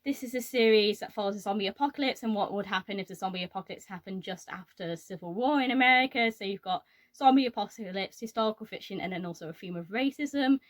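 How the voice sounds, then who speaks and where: A woman's voice: medium pitch, fast talker. A young woman giving her opinions on books in a casual, explanatory chat to camera. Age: young adult. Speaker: book reviewer.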